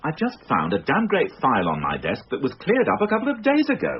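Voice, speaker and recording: An actor playing a fussy, tetchy middle-aged British police inspector who grumbles in a radio drama. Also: male voice, on the high side, huge pitch swings, fast. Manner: grumbling, exacting. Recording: dull, faintly noisy radio recording.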